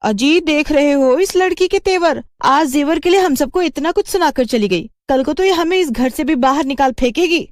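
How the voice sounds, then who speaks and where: A woman's voice: high voice, moderate pace, few pauses, dry recording, studio quality, voice pushed up, close to an everyday voice. A woman voicing a greedy, resentful young daughter-in-law with a whiny, needling manner. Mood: indignant.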